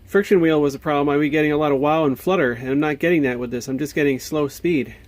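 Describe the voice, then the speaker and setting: A man's voice: fairly high pitch, fast. An American man giving a casual show-and-tell, thinking aloud in a hesitant, puzzled way. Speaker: hobbyist video maker. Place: indoors at a home workbench.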